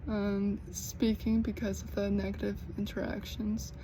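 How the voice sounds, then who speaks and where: A young American woman who stutters, with repeated sounds and blocks, talking slowly and earnestly in a personal vlog. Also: medium pitch, flat delivery, noisy background. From United States. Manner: slow, earnest, gently encouraging. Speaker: vlogger.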